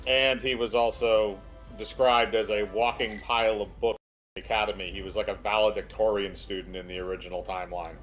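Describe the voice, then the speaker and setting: A man's voice: lowish voice, fairly even intonation, fairly quick, fairly bright tone, rough recording. A man co-commentating on a game stream in casual chat, argumentative and fond of explaining. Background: game music.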